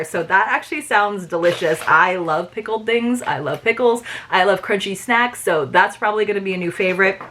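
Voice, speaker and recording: A woman's voice: medium pitch, fairly bright tone, a little hiss or hum. A woman in a casual unboxing vlog, chatty and enthusiastic, talking to viewers with many fillers and reading from a booklet. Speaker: subscription box reviewer.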